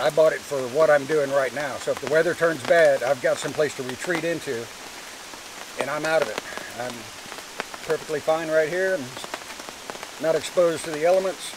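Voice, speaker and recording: A relaxed American man rambling through his camping day with dry humor. He sits back from the camera mic, so he sounds a little distant. Steady rain hisses clearly behind him. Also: a little high. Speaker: moto camping vlogger. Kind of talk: casual vlog narration to camera. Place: tent and campsite outdoors by a creek in the rain.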